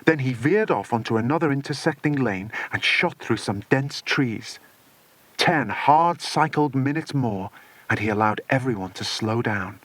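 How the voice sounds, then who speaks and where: Animated male audiobook narrator. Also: medium pitch, a little slow, dry recording. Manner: animated, expressive storytelling. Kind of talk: dramatic scripted narration of a children's adventure story.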